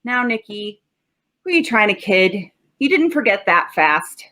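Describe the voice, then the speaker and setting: A woman's voice: medium pitch, very animated, measured pace, sharp tone. A woman playing a stubborn, sarcastic male homicide cop with slangy tough talk in 1940s radio style. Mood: sardonic.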